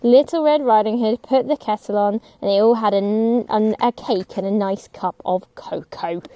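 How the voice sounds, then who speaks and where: A woman's voice: mid-range voice, mellow, no room sound. A woman telling a story to young children as a storybook reader.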